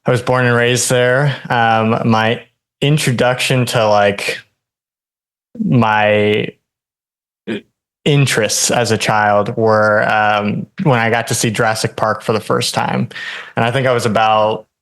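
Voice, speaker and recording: A young man from Hawaii, a podcast guest telling his story in a relaxed way, full of ums and likes. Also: mid-range voice, slow, clear, resonant tone. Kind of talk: casual interview chat.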